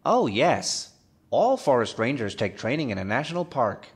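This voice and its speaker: An actor playing a timid, earnest forest ranger, polite and matter-of-fact, in a comedic audio drama. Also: male voice, mid-range voice, big pitch swings, normal speed, slightly rough. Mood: mild, timid.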